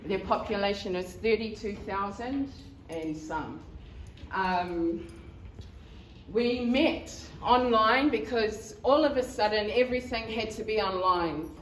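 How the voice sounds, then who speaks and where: A woman from New Zealand, a community member giving a conference presentation, speaking haltingly with many ums and nervous laughs. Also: medium pitch. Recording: echoey room, mic at a distance, faint noise.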